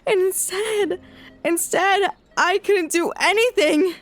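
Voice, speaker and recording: A woman's voice: very high-pitched, slow pace, soft background music. A woman playing an anguished young woman voicing guilt-laden inner thoughts in an audio drama. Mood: anguished, remorseful.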